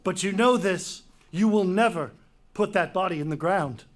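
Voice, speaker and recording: An actor playing a proud, stubborn king in a Greek tragedy reading, speaking emphatically with halting repeated words. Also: high voice, natural voice. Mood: scornful. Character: proud, stubborn male king, defensive and scornful.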